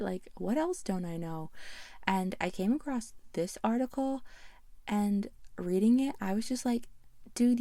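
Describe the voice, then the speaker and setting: A woman's voice: medium pitch, dark tone, dry recording, clean studio sound. A woman narrating a video essay in a casual, conversational and self-effacing way. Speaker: video creator and host.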